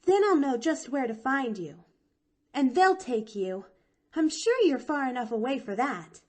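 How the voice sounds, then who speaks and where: Woman voicing a cheerful, talkative little girl. Lively, bright-toned pitch, unhurried, folksy drawl. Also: full character voice. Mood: earnest. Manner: eager, chattering, folksy drawl. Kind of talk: audiobook reading.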